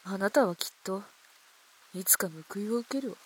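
A woman playing a proud, sharp-tongued young courtesan in a radio drama, speaking in old-fashioned courtesan style. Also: mid-range voice, moderate pace, husky, close to an everyday voice, low-quality recording. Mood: hurt, indignant.

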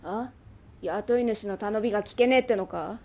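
A woman playing a brash, bossy underworld boss in a radio drama, with rough, masculine, commanding speech. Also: medium pitch, normal speed, darkish tone, natural voice. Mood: teasing, pushy.

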